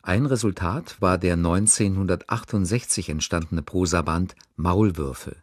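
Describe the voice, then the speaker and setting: A man's voice: low voice, measured pace, slightly rough, dry recording, studio quality. A man presenting a radio broadcast, reading a scripted introduction in a formal, informative way.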